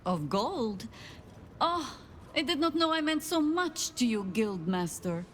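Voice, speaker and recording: A woman's voice: on the high side, very animated, takes it slowly, a little hiss or hum. A woman playing a composed old warrior in a video game, dryly wry and formal. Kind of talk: video game dramatic acting.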